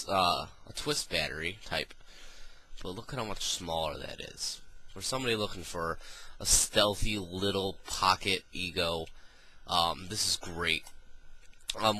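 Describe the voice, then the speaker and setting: A man's voice: deep voice, sharp tone. A man reviewing a gadget in a casual, rambling way, full of ums and uhs, sounding nasal from a cold. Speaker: product reviewer.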